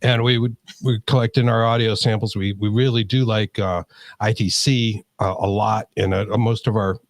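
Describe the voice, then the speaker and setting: A man's voice: lowish voice, fairly even intonation, normal speed, dry recording. A man with a New England accent chatting casually as a podcast co-host, in an informal, slangy, rambling way. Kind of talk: casual chat.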